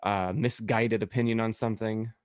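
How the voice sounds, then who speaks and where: A man's voice: on the low side, fairly even intonation, moderate pace, smooth, dry recording, clean studio sound. A man co-hosting a casual comedy podcast, chatty and joking as he keeps the conversation moving along. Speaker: podcast co-host.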